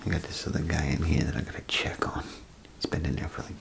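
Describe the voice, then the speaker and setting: A man doing a soft-spoken, half-whispered ASMR role play as a chatty, grumbling bathroom attendant, rambling and hesitant in a folksy way. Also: a bass voice, level tone, quick pace. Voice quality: gravelly, breathy half-whisper. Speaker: ASMR performer.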